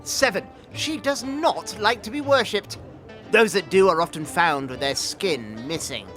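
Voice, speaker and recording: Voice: mid-range voice, lively intonation, a little slow, fairly bright tone. An actor playing a nervous, bookish older male scholar in a fantasy audio drama, hesitant, courteous and pedantic in formal phrasing. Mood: courteous, solemn. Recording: music behind the voice. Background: music.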